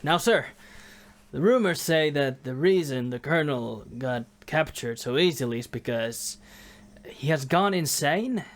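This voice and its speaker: A man's voice: on the high side, lively intonation, unhurried. A man playing an earnest, naive young American private eager to please his sergeant. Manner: earnest, overeager.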